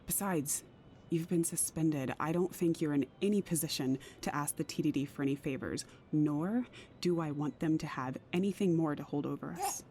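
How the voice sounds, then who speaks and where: An actress playing a troubled, guarded career woman in an intimate audio drama. Her voice is lowish and soft-edged, measured and confiding. A faint rumble sits behind her. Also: natural voice. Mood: troubled, firm. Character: careful, troubled career woman, secretly a mother.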